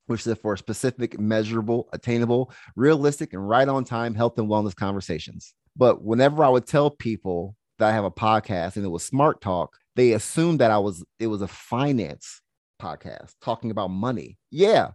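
A young man, a health coach, chatting casually in an interview, relaxed and laughing easily, with plenty of "you know"s. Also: on the low side, no echo, studio quality. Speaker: health coach and podcast guest.

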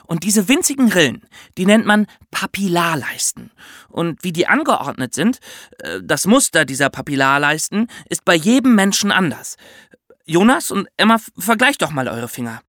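Guy explaining stuff on a kids podcast, talks right to the listeners, really animated, dry close sound. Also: high voice, moderate pace, no echo. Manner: instructive, addresses young listeners directly. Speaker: podcast co-host. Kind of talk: explanatory children's podcast talk.

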